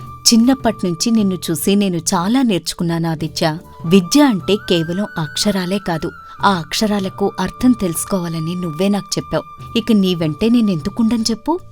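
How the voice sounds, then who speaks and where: A woman voicing a loyal, encouraging young village woman in a moral story, with an animated questioning manner. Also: medium pitch, moderate pace. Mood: supportive. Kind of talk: acted dialogue in a moral story. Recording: music bed under the voice.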